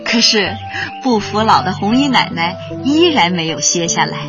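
A woman's voice: mid-range voice, animated, moderate pace, natural voice. A woman playing a young community worker who narrates a radio drama in a fond, scripted storytelling manner. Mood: fond, reflective. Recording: dull band-limited audio under prominent music.

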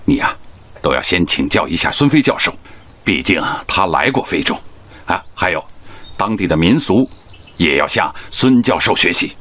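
An actor playing a genial, authoritative hospital director in a radio drama, avuncular and deliberate. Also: male voice, sits low, very animated, pushed and bright, slight background noise, heavily acted. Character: genial, authoritative male hospital director leading a medical team. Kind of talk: Chinese radio drama acting.

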